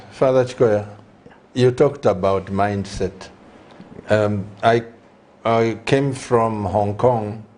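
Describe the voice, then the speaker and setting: A man, hosting a conversational interview in a deliberate, halting way of phrasing. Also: on the low side, darkish tone, a little hiss or hum. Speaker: host, church leader.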